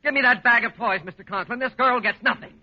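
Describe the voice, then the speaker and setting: An American actor playing a flustered, pompous department store boss with blustering delivery in a 1940s radio comedy. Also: male voice, very high-pitched, fast talker, lo-fi sound. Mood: flustered, indignant. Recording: band-limited scratchy 1940s radio recording.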